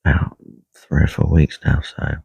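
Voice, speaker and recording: Man, croaky and husky, dark low voice, quiet rambling live chat, dry muffled audio. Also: little pitch movement, dry recording. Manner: quiet, unhurried, many ums and uhs. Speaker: carp angler livestreaming. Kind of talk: casual live stream chat. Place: lakeside fishing swim outdoors. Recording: dull lo-fi live stream audio.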